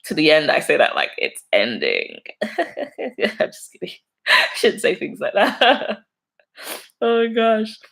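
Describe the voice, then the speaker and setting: A woman's voice: mid-range voice, wildly swooping pitch, slow pace, gravelly, pauses often, fairly bright tone. A young woman from London with a London accent, casually chatting on a live stream, laughing often as she reads out and answers viewer comments. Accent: London English. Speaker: YouTuber hosting a live stream.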